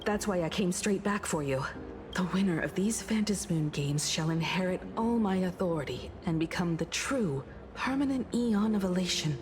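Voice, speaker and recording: An actress plays a composed game character who explains lore in a measured, even way. Her voice sits low for a woman. A clear hiss runs under her lines. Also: close to an everyday voice. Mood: announcing.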